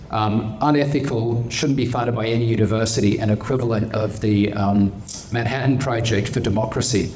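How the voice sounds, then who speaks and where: A man's voice: lowish voice, darkish tone. A man speaking on a panel at a live event, opinionated and fond of everyday analogies. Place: conference hall panel. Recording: live event audio, faint noise.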